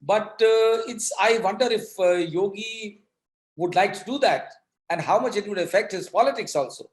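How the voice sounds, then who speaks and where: A man with an Indian accent speaks as a commentator being interviewed. His voice is notably high for a man, and he talks in halting, emphatic stretches with repeated words. The sound is very roomy and echoing. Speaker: political commentator.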